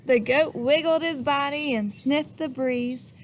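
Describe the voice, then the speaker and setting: A woman reading a children's picture book aloud in an animated way, stopping to ask the listener questions and acting out the sneezes. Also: sits high, unhurried, slight background noise.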